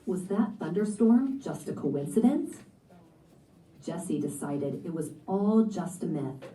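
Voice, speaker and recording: Woman storybook narrator, lowish and very mellow, reading scripted prose; roomy, slightly distant sound. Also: lively intonation, a little slow, a little hiss or hum. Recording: echoey room, picked up from a distance.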